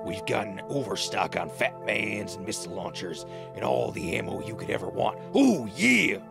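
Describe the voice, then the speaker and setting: A swaggering ghoul arms dealer selling hard in a hammy radio ad. The voice is low and extremely gravelly, with big swoops in pitch. Music plays underneath. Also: male voice, big pitch swings, normal speed, full character voice. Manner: boastful, hammy. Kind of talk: hammy radio commercial in an audio drama.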